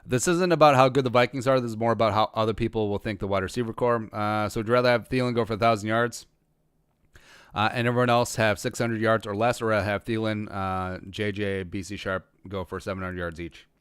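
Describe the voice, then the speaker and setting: A man's voice: on the low side, fairly even intonation, a little fast, a bit bright, clear, resonant tone, no room sound. A man from rural Minnesota hosting a casual livestream, reading viewer questions and musing through them with frequent restarts and dry humor. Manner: rambling, restarts often, dry self-aware humor. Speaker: podcast host answering live chat.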